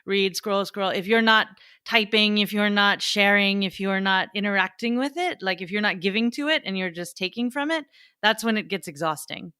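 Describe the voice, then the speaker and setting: Bright, clear North American woman podcast host. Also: mid-range voice, normal speed, dry recording, clean studio sound. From United States. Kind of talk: casual conversational podcast chat.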